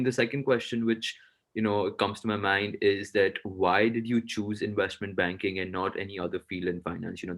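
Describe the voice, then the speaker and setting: Low-voiced young man hosting an interview. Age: young adult. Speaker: student interviewer, host. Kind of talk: semi-formal interview hosting.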